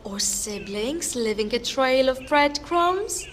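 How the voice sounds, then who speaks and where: A woman's voice: sits high, expressive, slow, a little hiss or hum. A woman playing a wry, matter-of-fact, nitpicking co-narrator in a fairytale audio drama. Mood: wry narration. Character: wry, nitpicking female co-narrator who punctures the mood. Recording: faint forest birdsong behind.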